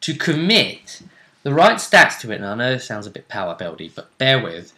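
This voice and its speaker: A man with a bright, forward voice at an average pitch, recorded in an echoey room. He talks casually about a tabletop game, in hesitant phrases that wander. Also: sing-song, moderate pace. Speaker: role-play show host.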